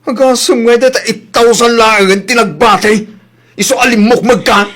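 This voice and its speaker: Casting: male actor as fed-up Ilocano husband, high voice, accusing and scolding, comedy radio drama. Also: moderate pace, a bit bright. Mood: angry scolding. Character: angry husband whose savings were spent.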